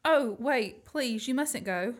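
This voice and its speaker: A woman's voice: a little high, animated, takes it slowly. A young woman acting an indignant, reluctant captive companion in a student radio play. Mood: flustered. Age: young adult. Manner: indignant, protesting.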